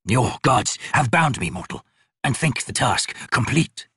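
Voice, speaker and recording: Man voicing a rasping, broken, malevolent god. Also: medium pitch, very animated, a bit bright, no room sound, clean studio sound, full character voice. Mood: bitter, pained. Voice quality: rasping, wheezing. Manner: slow, laboured, archaic grandiose speech. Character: broken, chained, bitter crippled god, malevolent.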